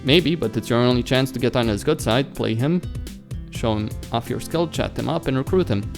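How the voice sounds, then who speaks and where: A man voicing an easygoing but blunt team captain in a visual novel game, brisk and casual. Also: mid-range voice, gravelly, close to an everyday voice. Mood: matter-of-fact advising. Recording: music behind the voice.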